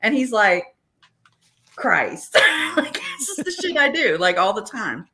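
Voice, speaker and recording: A bright, forceful woman in her forties tells stories on a casual livestream, swearing constantly. Her pitch jumps around animatedly as she talks. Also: sing-song, unhurried. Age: 40s. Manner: profane, loud and storytelling. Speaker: podcast co-host.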